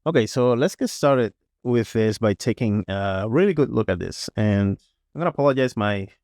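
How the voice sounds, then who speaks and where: A man teaching a digital painting paintover, sounding congested and a little hoarse, talking casually with frequent "right?" checks and small restarts. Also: lowish voice, lively intonation, moderate pace, darkish tone, dry recording, clean studio sound. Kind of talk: tutorial voice-over.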